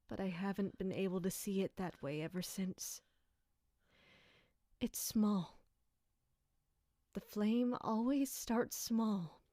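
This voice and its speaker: A woman's voice: mid-range voice, warm tone, no room sound, clean studio sound, lightly acted. A woman playing an anguished, dutiful and bitter royal captain in an audio drama, brooding and emotionally intense.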